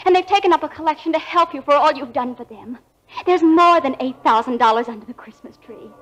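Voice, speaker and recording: A devoted young-sounding wife played by a woman in a 1940s radio drama. Her voice is high, tender and a little breathless, full of anxious care. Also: quick pace, close to an everyday voice, rough recording. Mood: reassuring joy.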